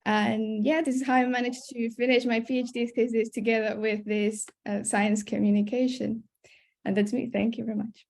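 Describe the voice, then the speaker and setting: Young woman, fairly high voice, science panelist speaking about her own path. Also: flat delivery, moderate pace, a little mellow. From born in Colombia, raised in Spain. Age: young adult. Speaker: PhD student and science communicator, panelist. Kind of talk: self-introduction at a webinar. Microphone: video call.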